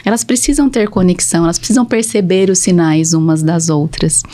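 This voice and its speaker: A woman's voice: fairly low pitch, fairly quick, warm tone. A woman with a Brazilian Portuguese accent, a speech therapist explaining things methodically and with emphasis in a podcast conversation.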